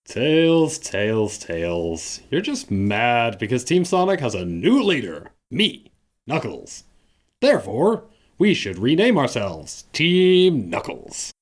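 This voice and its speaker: A man's voice: medium pitch, big pitch swings, slow. A man playing a stubborn, boastful cartoon echidna hero in a fan audio drama, pompous and melodramatic.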